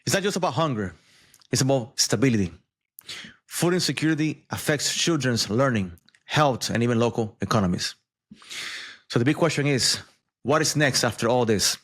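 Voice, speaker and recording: A man talks through the day's news in a loose, conversational way. He adds asides, fillers and repeats words as he goes, in an even, mid-pitched voice. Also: normal speed, pauses often. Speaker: news commentator. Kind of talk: casual news commentary.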